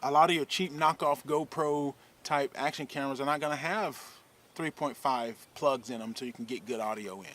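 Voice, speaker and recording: A man talks into a camera-mounted shotgun mic outdoors under a shade tree. His voice sits at an ordinary middle pitch, and his delivery is loose and rambling, like a practical how-to vlog. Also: moderate pace, a little hiss or hum. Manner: relaxed, rambling, demonstrative. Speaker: fishing video creator. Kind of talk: casual gear advice vlog.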